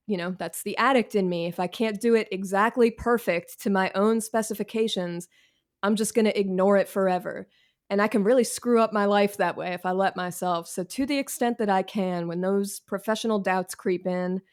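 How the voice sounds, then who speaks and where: A woman talks as a guest writer in a conversational interview. She is frank and quick to poke fun at herself, using plenty of casual slang. Her pitch sits in the middle for a woman. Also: clean tone. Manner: candid, self-deprecating, slangy.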